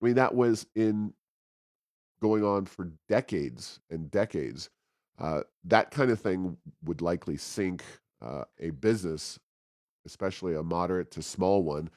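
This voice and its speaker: Deep-voiced man discussing policy. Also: a little slow, darkish tone, dry recording, studio quality. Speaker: policy talk show host. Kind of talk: unscripted policy commentary.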